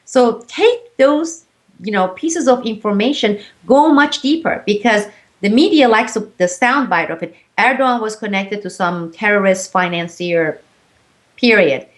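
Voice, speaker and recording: A woman whose first language is Turkish talks as an interview guest in a mid-range voice. She explains points earnestly and often pauses on fillers as she builds her argument. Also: medium pitch. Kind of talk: interview conversation.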